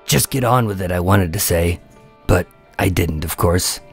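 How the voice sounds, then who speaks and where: A low male voice of an actor plays a nervous undercover agent in a noir radio drama. He tells his story in a wry, deadpan way, with music prominent behind him. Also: normal speed, gravelly, breathy edge, heavily acted. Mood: tense, wry. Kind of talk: first-person noir radio drama narration and dialogue.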